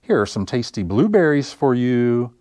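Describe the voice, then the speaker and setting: An earnest little storybook bear, played by a man reading aloud, pleading with drawn-out emphasis. The pitch swoops and climbs, and the sound is close and dry. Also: big pitch swings, a little mellow, dry recording, close to an everyday voice. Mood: pleading, insistent. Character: special young bear, earnest, pleading for his hat. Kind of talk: storybook character read aloud for children.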